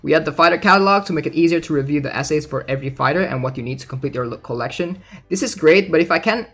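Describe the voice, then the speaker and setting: Higher-pitched, creaky-voiced man over music. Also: lively intonation, racing, a bit bright. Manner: informal, thinking aloud. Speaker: gaming content creator. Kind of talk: casual commentary while reading out game news. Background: background music.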